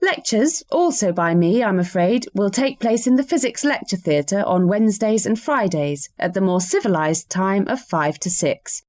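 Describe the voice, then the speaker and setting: A woman's voice: medium pitch, expressive, normal speed, hardly any pauses, darkish tone, no room sound, lightly acted. A woman voicing a university professor welcoming new students, with dry, teasing humour, in a scripted listening-test recording. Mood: wry, welcoming. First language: Czech. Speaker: lecturer.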